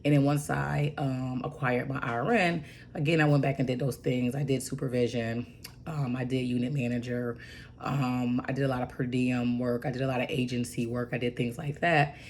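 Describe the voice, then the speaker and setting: A hoarse woman in her forties with a raspy voice, rambling through a casual story with lots of fillers and "right?" asides. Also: very deep voice, fairly even intonation, moderate pace, a little mellow, slight background noise. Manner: rambling, goes off on tangents with many fillers; emphatic, keeps asking "right?". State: hoarse from bronchitis. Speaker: registered nurse.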